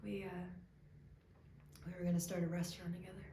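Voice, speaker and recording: A woman's voice: lowish voice, little pitch movement, warm tone, natural voice. Woman acting a hesitant grieving cook, echoey room. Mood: halting, subdued. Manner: halting, hesitant, confessional, with stammered restarts. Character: grieving, self-doubting young cook at a support meeting. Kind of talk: naturalistic dramatic monologue. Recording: echoey room, clear background noise.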